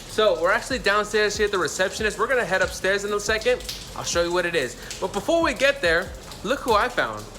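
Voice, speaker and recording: A man vlogging a walking tour of a hostel, chatty and energetic, often calling out to viewers as guys. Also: sits high. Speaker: travel vlogger. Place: hostel, moving between rooms.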